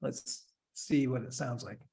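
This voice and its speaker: A man in his forties giving a relaxed, step-by-step software tutorial with light humor. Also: medium pitch, a little slow, creaky. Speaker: instructor giving a software demo. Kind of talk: screen-share tutorial, casual explanation.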